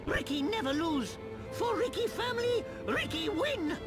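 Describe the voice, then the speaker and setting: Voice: extremely high pitch, big pitch swings, a little slow, exaggerated voice. An actor voicing a small, plucky, childlike creature in a video game, talking in broken third-person speech. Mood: determined, proud. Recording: loud music over the voice.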